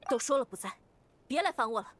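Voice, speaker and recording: A woman's voice: high-pitched, animated, quick pace, a bit bright, slight background noise. An actress voicing an aloof, guarded fey woman with a curt, dismissive manner in a Chinese video game dub. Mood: irritated.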